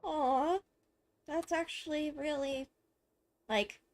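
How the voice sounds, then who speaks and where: High-voiced woman casually playing a game. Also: fairly even intonation, takes it slowly. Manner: informal, swearing, thinks out loud. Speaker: gaming streamer. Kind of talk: casual gameplay reaction commentary. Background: video game music.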